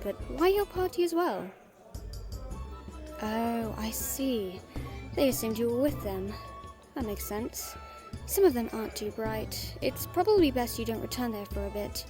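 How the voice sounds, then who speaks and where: Casting: female fantasy merchant played by a woman, higher-pitched voice, affectionate and teasing, intimate audio-drama delivery over background music. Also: lightly acted. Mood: attentive, understanding. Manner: gently teasing, encouraging, conversational.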